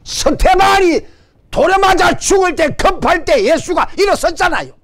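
Lively older pastor with Gyeongsang accent. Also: male voice, very high voice, normal speed. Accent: Gyeongsang Korean. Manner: animated preaching with call-and-response and jokes. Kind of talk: sermon. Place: church stage before a banner. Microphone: stand mic in front.

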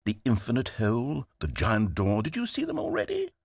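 A smug, scheming British narrator from a video game, his husky voice leaping from low mutters to high exclamations. The recording is close and completely dry, with no room around it. He talks theatrically, as if to an audience he is trying to win over. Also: huge pitch swings, fairly quick, dry recording, exaggerated voice. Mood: flustered. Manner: theatrical, swinging wildly in pitch. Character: smug, scheming male narrator, middle-aged.

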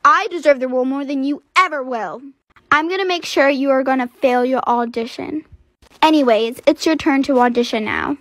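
A woman's voice: high voice, moderate pace, fairly bright tone, no room sound. A woman voicing a spiteful, scheming teenage rival who talks in a haughty, threatening way. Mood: menacing.

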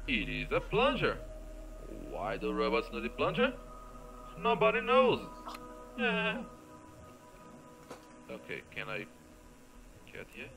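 A man's voice: sits high, wildly swooping pitch, takes it slowly, pauses often, a bit bright, faint music behind, low-quality recording. A man giving casual, off-the-cuff game commentary, muttering playfully to himself, repeating phrases and exclaiming as he plays. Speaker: gamer recording a let's play.

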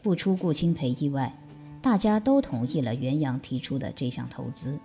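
A woman's voice: rumbling low, expressive, normal speed, warm tone, soft background music. A woman reading scripted narration for an audio drama.

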